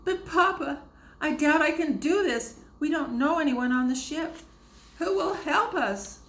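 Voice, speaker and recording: A woman's voice: a little slow, a bit bright, reverberant. Woman putting on a tearful frightened young woman. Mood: tearful, pleading. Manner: high, pleading, trembling.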